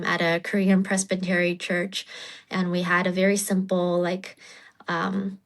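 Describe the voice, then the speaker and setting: A woman in her thirties from China telling a personal story as an interview guest, informal and halting, often saying "like". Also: on the low side. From China, partly United States. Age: 30s.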